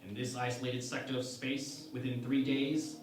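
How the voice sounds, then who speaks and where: A man playing a composed, pompous starship captain in a parody sci-fi radio play, speaking in a measured, formal way. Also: mid-range voice, level tone, close to an everyday voice. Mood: cool, commanding. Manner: measured, formal, mock-dignified. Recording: very echoey, distant mic, music behind.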